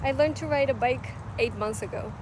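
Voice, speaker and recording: A woman's voice: high-pitched, brisk. A young woman in her twenties chatting casually as a vlogger while out in a city, rambling with lots of fillers. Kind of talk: casual travel vlog. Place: outdoors in a city, partly riding a bike. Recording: outdoor street noise and traffic. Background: city ambience and passing vehicles.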